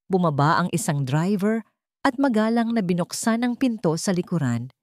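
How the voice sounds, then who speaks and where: A woman's voice: fairly low pitch, a little mellow, no room sound, studio quality. A woman with a Filipino accent narrating a scripted story in a dramatic storytelling style.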